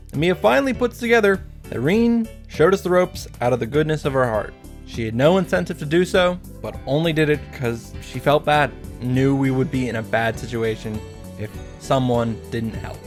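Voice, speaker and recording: A man's voice: mid-range voice, a little mellow. A man hosting a casual scripted recap of a visual novel, with a wry, joking delivery. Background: faint music.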